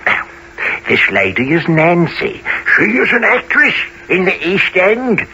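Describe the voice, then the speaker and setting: Oily old rogue, wildly swooping voice. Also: male voice, high voice, huge pitch swings, a little slow, pushed and bright, exaggerated voice. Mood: oily welcome. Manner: unctuous, fussily courteous. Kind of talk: family radio play acting. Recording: dull lo-fi with faint noise.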